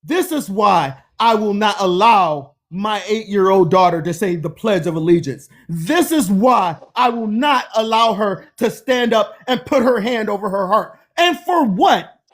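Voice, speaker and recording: A man's voice: high voice, animated, a little slow. A man in his fifties, a guest on a livestream, talking about politics in an earnest, emphatic way. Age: 50s. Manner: earnest, emphatic, preaching cadence. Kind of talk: impassioned political livestream talk.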